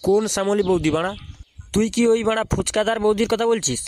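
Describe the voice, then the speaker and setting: A man's voice: high voice, quick pace, a little mellow, lightly acted. A man voicing a hot-headed, foul-mouthed club tough guy in a comic cartoon, brash and aggressive. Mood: irritated.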